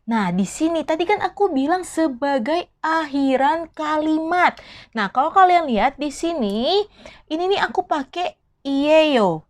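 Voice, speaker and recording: A woman with a high, light voice teaches a language lesson in a casual, chatty way. She keeps asking her viewers questions and drops English words into her explanations. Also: lively intonation, a little slow.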